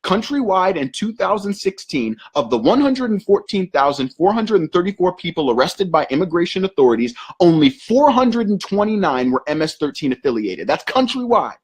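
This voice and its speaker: A man's voice: sits high, sing-song, moderate pace, hardly any pauses, breathy edge. A young man from Northern Virginia hosting a show, delivering scripted comedic commentary with slang and rhymes. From Northern Virginia, USA. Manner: punchy, rhyming delivery with slang and emphatic shifts. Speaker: show host.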